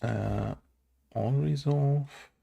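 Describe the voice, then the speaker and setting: A man with a mellow, gravelly voice talks to himself while programming. He speaks very slowly and haltingly, in casual mutters, as though working things out aloud. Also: mid-range voice, lo-fi sound. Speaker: game developer.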